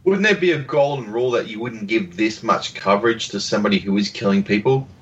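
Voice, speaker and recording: A man's voice: lowish voice, fast. A man hosting a podcast, steering the talk about films with brief questions and quips. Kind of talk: casual film discussion. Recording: distant, dull-sounding mic.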